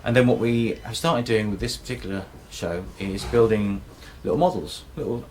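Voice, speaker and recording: Low-voiced man in an interview. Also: normal speed, a little hiss or hum, poor audio. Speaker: puppet maker. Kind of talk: informal documentary interview.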